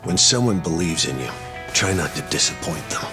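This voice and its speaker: A man's voice: low voice, very animated, fairly quick, loud music behind the voice. A man playing a stern but caring prison guard in a video game drama, admonishing and matter-of-fact. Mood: weary reproach.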